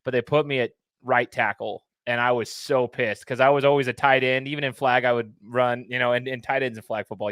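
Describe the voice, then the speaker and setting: A man from Idaho hosts a casual sports interview in a mid-range voice. He is chatty and animated and asks long, winding questions. The sound is dry and close. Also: medium pitch, dry recording. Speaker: podcast host.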